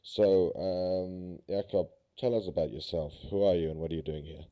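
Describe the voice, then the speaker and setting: Brief male podcast host, low-pitched, casual and loose, lo-fi recording. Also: steady tone, moderate pace. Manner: easygoing, laughs often, many fillers. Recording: dull lo-fi sound.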